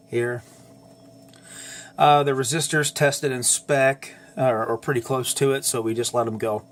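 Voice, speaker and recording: Wheezy man explaining a radio repair. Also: medium pitch. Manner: halting, many ums and uhs, corrects himself. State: slightly wheezy from asthma. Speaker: amateur radio operator restoring an old radio. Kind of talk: casual how-to explainer.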